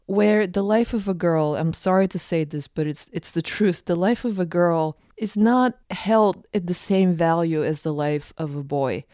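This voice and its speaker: A woman's voice: low voice, mellow, dry recording, studio quality. A woman from Bulgaria, a documentary filmmaker, answering interview questions in an earnest, emphatic way.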